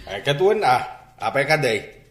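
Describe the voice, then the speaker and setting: A man's voice: mid-range voice, very animated, a little slow, music in the background. An actor playing a stern, angry, scornful father in a radio drama, scolding indignantly. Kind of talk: Filipino radio drama acting. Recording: echoey radio drama studio recording.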